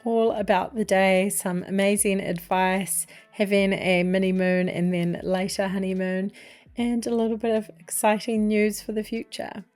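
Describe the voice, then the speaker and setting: A woman's voice: mid-range voice, little pitch movement, normal speed, darkish tone, faint music behind. A woman with a New Zealand accent, hosting a podcast in a friendly, chatty interview style. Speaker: podcast host, wedding photographer.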